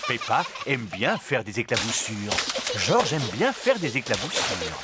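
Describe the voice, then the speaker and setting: A woman narrating a preschool cartoon as its storyteller, in simple, clearly phrased sentences for small children. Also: very deep voice, big pitch swings, measured pace, very noisy, full character voice. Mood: storytelling. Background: music.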